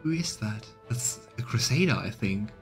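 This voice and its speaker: A man's voice: on the low side, expressive, moderate pace, vocal fry. A man in his thirties giving casual let's-play commentary and reading out game text. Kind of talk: voiced gameplay commentary and dialogue reading. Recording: game music behind the voice. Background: game music.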